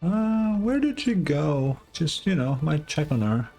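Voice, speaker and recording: A man's voice: a little high, very animated, a little slow, a little mellow. A young man giving a casual live reaction as a gaming streamer. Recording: game music behind the voice. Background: video game music.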